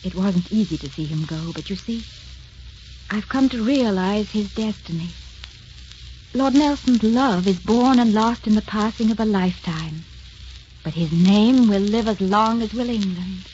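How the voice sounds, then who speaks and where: A woman's voice: medium pitch, measured pace, darkish tone, a little hiss or hum, natural voice. An actress playing a devoted, self-sacrificing lady lover in an old-time radio romance, speaking in a solemn, declamatory way. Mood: gentle, restrained. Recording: muffled old radio broadcast, music behind.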